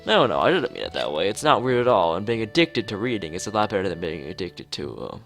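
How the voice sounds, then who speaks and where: A kindly, nervous school librarian woman from a visual novel, put on by a man. The delivery jumps around in pitch and comes across apologetic and easily flustered. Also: sing-song, fast talker, gravelly, a bit bright, faint music behind, full character voice.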